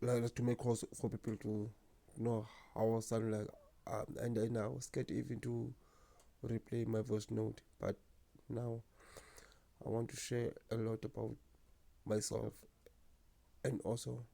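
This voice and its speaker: A man's voice: medium pitch, flat delivery, a little slow, gravelly, long pauses, dark tone. A young man from South Africa who stutters, talking earnestly and unhurriedly about himself as the host of his own channel. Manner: stutters, with repeated words and blocks. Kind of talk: personal vlog talk to camera.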